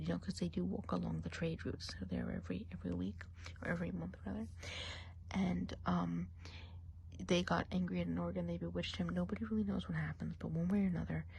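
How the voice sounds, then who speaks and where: A woman playing a gossipy forest witch, soft and gravelly. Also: on the low side, slight background noise. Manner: gossipy, mixes soft speech and whispers. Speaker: ASMR roleplay performer. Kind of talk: whispered ASMR roleplay.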